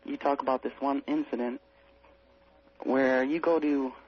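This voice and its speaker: A man phoning in to a radio show to ask a question, speaking carefully and haltingly. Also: mid-range voice, fairly even intonation. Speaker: radio phone-in caller. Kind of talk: asking a question on a call-in show. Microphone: telephone. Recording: muffled lo-fi phone call on radio.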